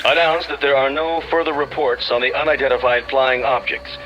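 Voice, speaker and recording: A man's voice: medium pitch, level tone, brisk, fairly bright tone. An actor playing a grave, formal male news announcer heard through a radio in a drama. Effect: radio filter. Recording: muffled radio speaker, music under.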